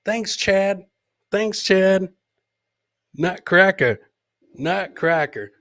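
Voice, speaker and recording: Higher-pitched man riffing on comedy clips. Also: lively intonation, drawn-out words, fairly bright tone. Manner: repeats phrases for comic effect; jokey, mimics people in the clips. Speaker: host of a live comedy podcast. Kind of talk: joking commentary on funny clips.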